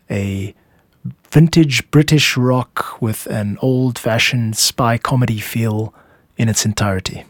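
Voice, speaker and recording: A man's voice: lowish voice, no echo, studio quality. A man narrating a scripted podcast as its host, explaining how music is made.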